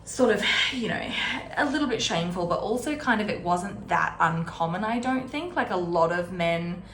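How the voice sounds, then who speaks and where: A woman's voice: fairly low pitch, animated, normal speed, fairly bright tone. Chatty woman rambling in an echoey room. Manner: chatty, wry, digressive with asides. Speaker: host of a book readalong series. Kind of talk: casual rambling book discussion. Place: indoor room at a desk. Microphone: camera set on or near desk. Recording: roomy echo, background room noise.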